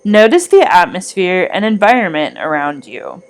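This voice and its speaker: A woman reading a scripted guided meditation in a soothing, deliberate manner with long pauses. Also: lowish voice, very animated.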